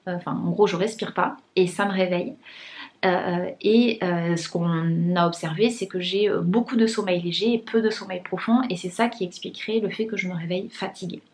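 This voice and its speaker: A woman's voice: fairly low pitch, fairly even intonation, brisk. A young woman talking casually to her vlog viewers, with many fillers and restarts and a blocked, congested nose. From France. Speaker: vlogger. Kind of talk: casual vlog chat to camera.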